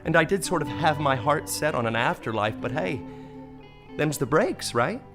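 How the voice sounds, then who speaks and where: A man's voice: on the high side, lively intonation, moderate pace, music in the background. An actor playing a melodramatic, dying man of faith in a comic audio play, given to exclamations and big emotional swings. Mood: joyful wonder. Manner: melodramatic, exclamatory, wailing and gushing by turns. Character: dying man of faith in desert, theatrical, swings panic to wonder.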